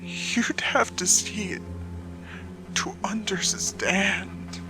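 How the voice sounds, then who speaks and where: A woman voicing a weary, cowardly damned soul in a horror story, speaking slowly and haltingly with drawn-out pauses. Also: mid-range voice, whispered, music in the background, voice pushed down. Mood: grim, halting. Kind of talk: horror story character acting.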